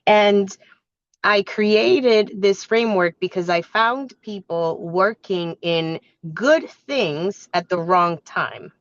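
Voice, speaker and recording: A woman with a slightly hoarse voice from a cold, presenting like a business coach in a conversational, instructive way. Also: mid-range voice, slow. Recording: echoey room, dull muffled sound.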